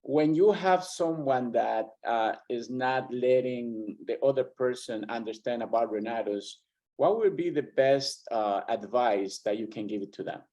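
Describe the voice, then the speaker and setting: A man on a video call who gushes his thanks warmly before asking his question. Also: medium pitch, measured pace, highs cut off. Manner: warm, gushing with thanks. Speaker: caller.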